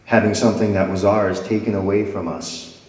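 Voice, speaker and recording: A man's voice: on the low side, steady tone, a little fast, a little mellow, slight background noise. A man preaching a sermon to a congregation, reading scripture dramatically and letting his voice swell and fall. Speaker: pastor. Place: church sanctuary before a congregation. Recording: echoey hall with long reverb.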